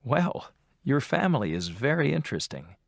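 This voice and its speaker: An adult voice playing an interviewer in a scripted textbook dialogue, asking friendly questions with measured delivery. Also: medium pitch, very animated, vocal fry, no room sound, studio quality. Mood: plain.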